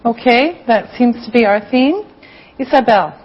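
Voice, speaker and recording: A woman's voice: on the high side, lively intonation, measured pace, breathy edge. A woman playing an encouraging English teacher, giving carefully enunciated classroom instructions and dictation. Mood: patient, instructive. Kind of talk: scripted classroom lesson with dictation. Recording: slightly dull lo-fi audio.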